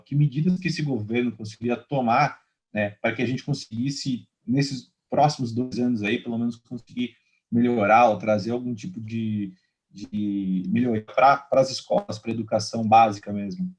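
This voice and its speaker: A man's voice: mid-range voice, moderate pace. Brazilian male moderator posing questions. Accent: Brazilian Portuguese. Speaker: interviewer, moderator. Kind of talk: conversational interview questioning.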